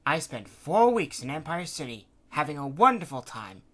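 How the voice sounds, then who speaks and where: A man's voice: sing-song, moderate pace, fairly bright tone. A man voicing a cheerful woman who captains a dirigible, in a raised, lilting voice. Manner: chatty, lilting, higher-pitched.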